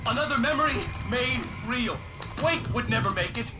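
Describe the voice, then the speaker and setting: An actor playing a writer's deranged dark double, narrating doom in a feverish, fatalistic, theatrical way in a video game. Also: male voice, very high-pitched, lively intonation, normal speed. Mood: bleak, despairing. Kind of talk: overwrought third-person doom narration. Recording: roomy echo, loud music behind.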